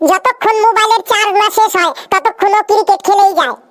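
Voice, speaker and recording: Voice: very high-pitched, fast talker, a bit bright. A performer voicing a cheeky, literal-minded, childlike cartoon patient with an earnest way of talking. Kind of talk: comedy cartoon skit dialogue.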